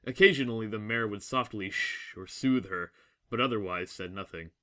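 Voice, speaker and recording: A man's voice: lowish voice, moderate pace, bright, forceful tone. A man reading scripted, dramatic story narration as the narrator of an audio drama.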